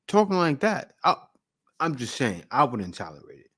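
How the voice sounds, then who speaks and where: A man's voice: mid-range voice, big pitch swings, normal speed, fairly bright tone, breathy edge. A man hosting a reaction video, who speaks in a blunt, lecturing and emphatic way. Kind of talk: opinionated reaction commentary.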